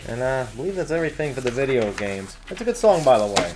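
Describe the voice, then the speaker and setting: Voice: mid-range voice, big pitch swings, fast talker, music in the background, poor audio. A co-host of a store news show, reading out event listings with casual banter and playful joking asides.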